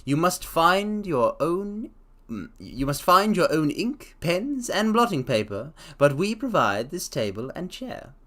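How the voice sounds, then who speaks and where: A man voicing a formal older employer who speaks in a slow, measured, stately way. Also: on the high side, very animated, fairly bright tone. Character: formal older male employer, pompous and deliberate.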